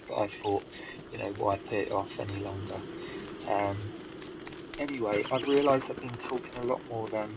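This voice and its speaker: A man's voice: fairly low pitch, noisy background. A young man commentating his game in a casual, hesitant way, a bit stuffy from hay fever. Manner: hesitant, many ums and restarts. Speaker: gamer commentating his own play. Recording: loud game music behind.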